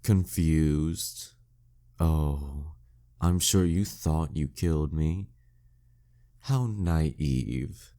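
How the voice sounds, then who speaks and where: A man's voice: extremely low pitch, mellow, heavily acted. A man playing a flirty, dominant demon king villain in an intimate audio roleplay, teasing and smug in manner. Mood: taunting, condescending. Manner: teasing, smug, slow deliberate delivery.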